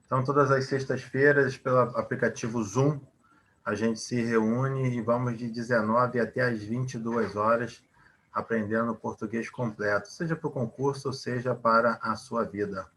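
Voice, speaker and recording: A man's voice: mid-range voice, steady tone, normal speed, fairly bright tone, a little hiss or hum. A man teaching a Portuguese grammar lesson, explaining at length, asking rhetorical questions and using many fillers.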